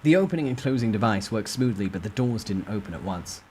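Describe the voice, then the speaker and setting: A man's voice: on the low side, animated, fast, a little mellow. Man narrating a novel over background noise. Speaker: storyteller reading a web novel aloud. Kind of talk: dramatic first-person novel narration.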